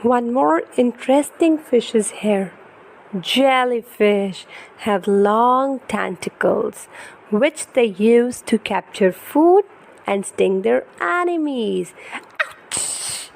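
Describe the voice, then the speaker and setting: A woman's voice: a little high, lively intonation, takes it slowly, creaky, a little hiss or hum, poor audio. A woman reading a picture book aloud to young children in a teacherly way, with questions and exclamations.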